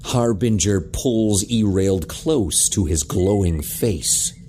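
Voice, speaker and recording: A man narrating a scripted action drama with a husky voice. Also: fairly low pitch, takes it slowly, very noisy.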